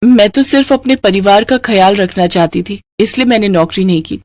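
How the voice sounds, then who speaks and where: A woman voicing a meek, kind elder daughter-in-law in a cartoon story, gentle and earnest. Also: mid-range voice, brisk, natural voice. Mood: hurt, earnest.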